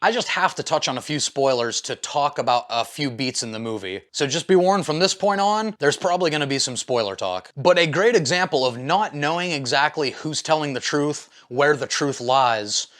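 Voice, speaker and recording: Enthusiastic man reviewing movies, higher-pitched voice. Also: expressive, moderate pace, hardly any pauses, a bit bright. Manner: informal, enthusiastic, slangy. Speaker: movie reviewer, video host. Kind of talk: casual opinionated film review.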